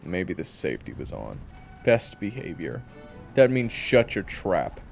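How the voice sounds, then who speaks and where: A man playing a gruff, sarcastic and fastidious monster hunter in an audio roleplay, dry, scolding and blunt. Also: deep voice, sing-song, soft background music, pitched below the performer's own voice, full character voice. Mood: dry, bossy.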